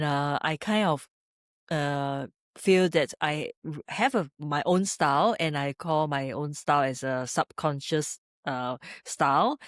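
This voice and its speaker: Low, smooth-voiced Singaporean woman. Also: dry recording, clean studio sound. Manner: halting, searching for words, repeats phrases. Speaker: podcast guest, street photographer. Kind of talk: conversational interview, personal storytelling. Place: indoors in a gallery.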